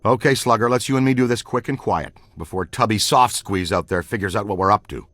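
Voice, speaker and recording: An actor playing an enthusiastic, boyish middle-aged ghost hunter in a video game, full of folksy banter. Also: male voice, lowish voice, fairly quick, very noisy, lightly acted. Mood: wry, determined. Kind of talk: video game character acting.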